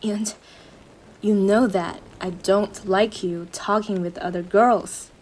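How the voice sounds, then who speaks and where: A woman's voice: mid-range voice, steady tone, darkish tone, a little hiss or hum, close to an everyday voice, low-quality recording. A woman playing a young, obsessive yandere girlfriend in a roleplay monologue, syrupy and menacing, speaking intimately to her partner with a jealous intensity. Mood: sweetly menacing. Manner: slow, sugary endearments swinging into intense jealous outbursts. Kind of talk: dramatic roleplay monologue addressed to the listener.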